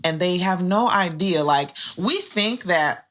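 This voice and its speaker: A woman guest with a low, husky, hoarse voice, telling stories in a heartfelt, animated way in casual chat. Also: takes it slowly.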